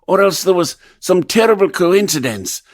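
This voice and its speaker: An actor playing an obsessive, grandiose composer in theatrical old-time radio style, with intense, halting delivery. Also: male voice, sits high, lively intonation. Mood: anguished, disbelieving. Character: tormented, obsessive male composer, feverish and grandiose. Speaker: lead actor in radio play.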